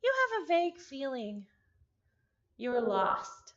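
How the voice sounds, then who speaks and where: A woman's voice: sits high, sing-song, takes it slowly, lo-fi sound. A woman reading a visual novel aloud in a playful, theatrical manner, breaking off into chatty asides. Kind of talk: visual novel read-along with casual reactions.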